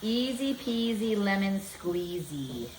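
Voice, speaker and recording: A cheerful woman in her fifties talks viewers through a recipe step by step. Her voice sits at a mid pitch and bounces around an echoey home kitchen over a faint hum. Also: big pitch swings, takes it slowly, darkish tone. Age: 50s. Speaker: home cooking vlogger. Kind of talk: casual cooking demonstration.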